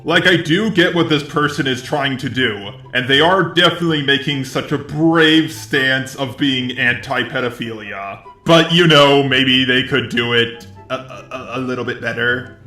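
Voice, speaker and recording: A man's voice: medium pitch, measured pace, bright, forceful tone. A man giving casual video commentary with a sardonic manner. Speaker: YouTube commentator. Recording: roomy echo, music behind.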